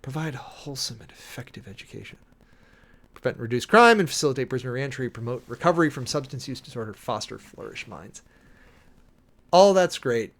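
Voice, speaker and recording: A man's voice: medium pitch, lively intonation, fairly quick, a bit bright. A man giving casual, opinionated livestream commentary as the host, informal and emphatic, often repeating phrases for stress. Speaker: live streamer hosting a political commentary show.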